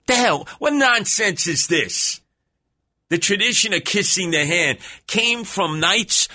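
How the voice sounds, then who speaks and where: Male New radio host, brash and theatrical, bright voice. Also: no echo, clean studio sound. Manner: brash, mocking, theatrical swings in pitch. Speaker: radio talk show host. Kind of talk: talk radio banter with callers. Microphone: studio microphone.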